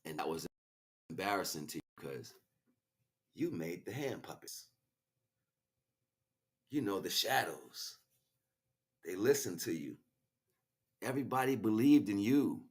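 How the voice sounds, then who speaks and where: A man delivering an impassioned, improvised spiritual monologue, preachy and grandiose, swinging between hushed asides and loud, emphatic declarations. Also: on the low side, big pitch swings, a little slow, pauses often. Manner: preachy, grandiose, repeats phrases for weight. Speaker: spiritual speaker, streamer.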